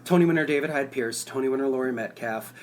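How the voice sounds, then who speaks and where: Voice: mid-range voice, fast, a crowd in the background. A podcast co-host chatting casually and gushing like a fan. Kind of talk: casual chat.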